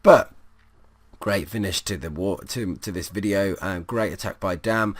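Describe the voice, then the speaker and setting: A hoarse man who is losing his voice, giving casual game strategy commentary with many fillers and restarts. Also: measured pace. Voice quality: deeper than usual.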